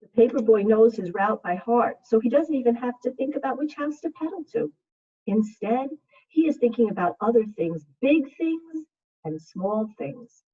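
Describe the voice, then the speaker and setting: A woman teacher speaking to young children in a read-aloud style, with measured, simple phrasing over a video call. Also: a little high, expressive, husky. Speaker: second grade teacher. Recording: muffled, phone-like video call audio.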